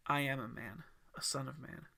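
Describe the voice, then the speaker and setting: A man voicing a weakened, fasting holy man in an audiobook story, solemn and quietly certain, with a scratchy voice. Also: mid-range voice, gravelly. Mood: calm, certain. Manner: solemn, declarative.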